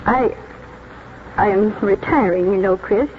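A woman's voice: medium pitch, measured pace, lightly acted. An actress playing a wistful, nostalgic retiring schoolteacher in her seventies, in 1940s radio drama style. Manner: nostalgic, reflective. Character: wistful seventy-four-year-old spinster schoolteacher reminiscing, retiring. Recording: muffled, noisy 1940s radio recording.

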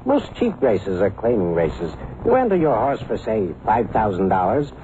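A man's voice: lowish voice, sing-song, moderate pace, warm tone. A man playing a chatty, shrewd neighborhood bookie with clipped, breezy patter in a vintage radio drama. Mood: matter-of-fact. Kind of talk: 1950s radio drama acting. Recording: muffled, hissy old radio recording.

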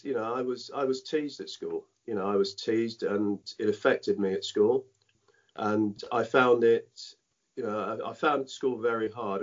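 A middle-aged man who grew up in Dominica, speaking patois as a first language, tells stories from his past in a halting, reflective way, full of "you know" and restarts. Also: medium pitch. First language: Dominican French patois. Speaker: former cricketer, guest. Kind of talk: reflective interview storytelling. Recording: dull lo-fi sound.

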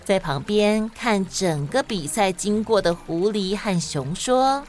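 A woman's voice: mid-range voice, expressive, slow, soft background music. A woman with a Taiwanese accent hosting and narrating stories expressively for children. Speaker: host and storyteller of a children's podcast.

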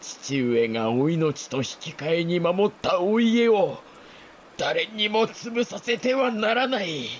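A grave, burdened samurai patriarch on his deathbed in a radio play. His breathy, strained voice moves extremely slowly and haltingly through archaic speech, with a faint wash of rain-like noise around it. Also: sits high, animated, muffled recording. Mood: grave, haunted. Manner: slow, halting, old-fashioned samurai speech. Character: dying samurai clan patriarch, grave, fearful, burdened father. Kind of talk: audio drama acting.

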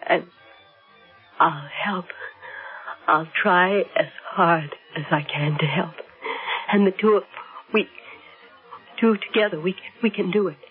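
Actress as frightened, clinging wife, thin radio sound. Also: female voice, lowish voice, measured pace, long pauses, phone-quality sound, close to an everyday voice. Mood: adoring, fervent. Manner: breathless, pleading, melodramatic. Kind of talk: old-time radio drama acting. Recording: thin old radio broadcast, music behind.

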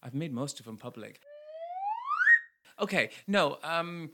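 A man's voice: a little high, expressive, measured pace, very noisy. A man in his thirties co-hosting a casual, bantering chat with his partner in a playful, campy, mock-dramatic way. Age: 30s. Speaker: co-host.

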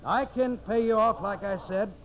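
An actor playing a tough, glib, smooth-talking timber boss in a 1950s radio drama. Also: male voice, sits high, normal speed, close to an everyday voice. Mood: rallying, persuasive. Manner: glib, brash. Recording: muffled old radio recording.

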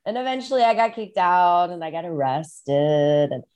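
A woman's voice: fairly low pitch, expressive, normal speed, darkish tone, clean tone. A woman from the Midwest, a musician guest, talking casually over a video call and telling stories with lots of "like"s. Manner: rambling, filler-heavy storytelling with many "like"s. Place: farmhouse in rural Iowa.

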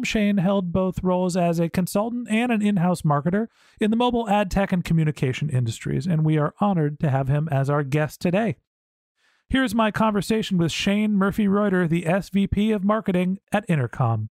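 Podcast host guy, kinda high voice, upbeat, super dry close mic. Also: moderate pace, darkish tone, no echo. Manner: upbeat, scripted intro then friendly questions. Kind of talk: podcast interview hosting.

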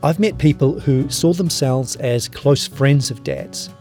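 A man reading scripted documentary narration in a measured storytelling manner. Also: mid-range voice, mellow. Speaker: podcast narrator. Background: background music.

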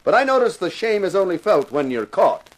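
Tough 1950s radio detective-host: stern, sardonic, commanding, theatrical, voice a little high. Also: male voice, very animated, fairly quick. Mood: stern, accusing. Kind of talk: 1950s radio crime drama acting and narration.

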